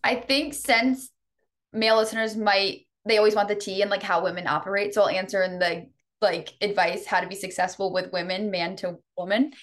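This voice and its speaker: A woman's voice: mid-range voice, fairly even intonation, fairly quick, a bit bright. A woman in her twenties, a guest on a podcast, chatting casually in a laid-back, frank way. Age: 20s.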